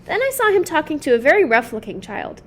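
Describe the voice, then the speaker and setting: A woman's voice: high-pitched, sing-song, fast, pitched above the performer's own voice. A woman voicing a haughty, bossy, well-to-do mother in a picture book, prim and indignant. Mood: fretful, commanding. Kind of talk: children's picture book read-aloud.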